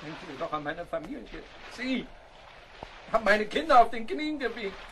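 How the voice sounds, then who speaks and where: A man's voice: sits high, very animated, moderate pace, a little hiss or hum. A man acting an outraged, tyrannical sea captain in a radio play. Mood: pleading, bitter. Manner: indignant, threatening, pleading. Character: outraged tyrannical male ship's captain, deposed by mutiny.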